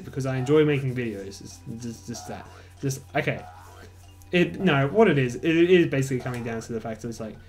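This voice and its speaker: A man's voice: mid-range voice, darkish tone. A young Australian man with an Australian accent commentating casually over gameplay, rambling and swearing freely. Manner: rambling, swears a lot, self-mocking. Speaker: let's play host.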